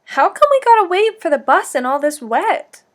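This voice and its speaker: A plaintive young boy from a storybook, voiced by a woman reading aloud. He speaks in a high voice and sounds questioning and a bit put out. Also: very animated, normal speed.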